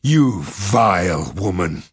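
A man's voice: on the low side, normal speed, slightly rough, dry recording, studio quality. A man playing a bitter, aggressive male academic in an audiobook, speaking with emphatic, dramatic scorn. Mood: furious. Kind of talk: audiobook character voice.

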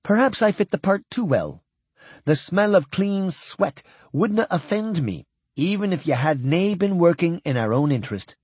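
A man's voice: high-pitched, animated, normal speed, darkish tone, breathy edge, no echo, pitched above the performer's own voice. Man as lilting, formal Scottish-accented alien. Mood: polite, amused. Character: polite, dutiful alien mimicking a Scottish crewman.

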